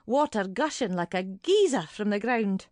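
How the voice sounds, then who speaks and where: An actress playing a suspicious, fretful older woman neighbour in an audio drama monologue, brooding in clipped, deliberate fragments. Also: medium pitch, animated, a little mellow, no echo, clean studio sound, close to an everyday voice. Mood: aggrieved.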